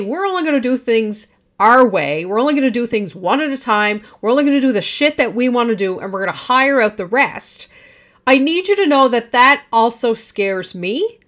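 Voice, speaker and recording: A woman in her forties speaks on her own podcast, her voice pitched a little high. She talks with confidence and projects strongly, leaning hard on her points. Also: expressive, normal speed, a bit bright. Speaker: podcast host. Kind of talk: solo podcast monologue.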